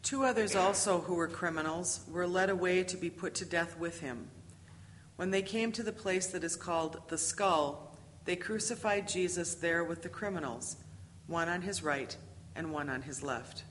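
A woman's voice: lowish voice, normal speed, a bit bright. A woman narrating a dramatic scripture reading from a script, recorded in a reverberant hall. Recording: reverberant hall with faint noise.

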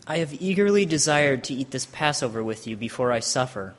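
A man playing a solemn religious teacher in a dramatic scripture reading, speaking in a measured, declamatory way with long hall reverb. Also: mid-range voice, animated, lightly acted. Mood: solemn, tender. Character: Jesus, solemn adult male teacher at his last supper.

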